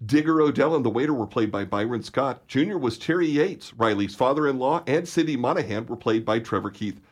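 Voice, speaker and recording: A man's voice: medium pitch, expressive, brisk. A man hosting and narrating a radio theater show, reading scripted announcements. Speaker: host and narrator.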